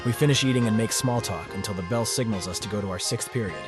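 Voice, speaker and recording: A man playing a cynical, self-deprecating high school student, narrating a visual novel in sardonic, muttering asides. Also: quick pace, over music, lightly acted. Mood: wry, self-indulgent. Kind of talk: first-person scripted narration, visual novel dub.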